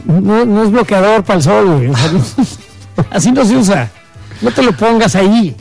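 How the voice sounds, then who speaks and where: A man's voice: high-pitched, animated, normal speed, gravelly, a little mellow, faint music behind. A man with a Mexican accent co-hosting a comedy countdown in casual, playful, teasing and slangy banter. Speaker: comedy show co-host.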